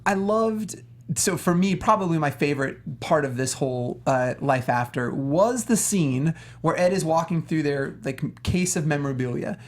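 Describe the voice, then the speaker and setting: A man's voice: on the high side, animated, moderate pace. A man co-hosting a casual film chat, talking in a chatty way with lots of "like" and checking questions. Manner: chatty, peppered with "like" and tag questions. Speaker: talk show co-host.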